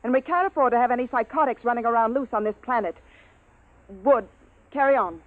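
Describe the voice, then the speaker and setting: A woman's voice: fairly high pitch, a little fast, lightly acted. A woman playing a clipped, authoritative military commander in a 1950s radio drama. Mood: stern. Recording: tinny 1950s radio recording.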